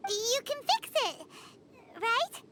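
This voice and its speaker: An actress voicing a tiny, childlike, excitable female fairy companion in a video game, with a squeaky, chatty delivery. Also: slow, a little hiss or hum, full character voice. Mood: curious, concerned. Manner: excitable, chatty, third-person self-reference. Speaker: game character voice actor. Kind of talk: scripted video game dialogue.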